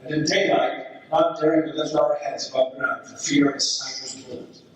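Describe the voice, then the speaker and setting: A man takes the part of a tender British soldier of the First World War writing to his sweetheart. The reading is earnest and expressive, in a slightly high voice. It is staged readers theater, heard from a distance in a very reverberant room with faint noise. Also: normal speed, natural voice.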